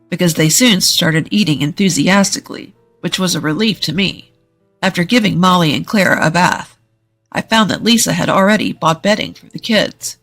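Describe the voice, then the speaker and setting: Story narrator, scripted first-person reading, mid-range pitch, light background music. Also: a bit bright. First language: English.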